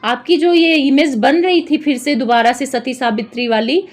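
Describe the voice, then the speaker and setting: A woman's voice: sits high, normal speed. A woman vlogger giving informal, opinionated commentary on other people's disputes, in an accusatory and repetitive way. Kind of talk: informal gossip commentary, recapping and judging a dispute.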